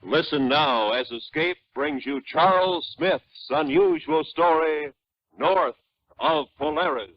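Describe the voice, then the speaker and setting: A man's voice: fairly high pitch, expressive, slow pace. An actor playing a grand, dramatic male announcer on a 1950s radio adventure show. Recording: very noisy, muffled vintage radio recording.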